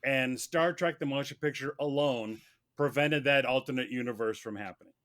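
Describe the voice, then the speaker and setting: A man playing a mock defense lawyer, making a wordy, halting film argument in formal vocabulary. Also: medium pitch, fairly bright tone. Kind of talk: semi-prepared humorous film argument.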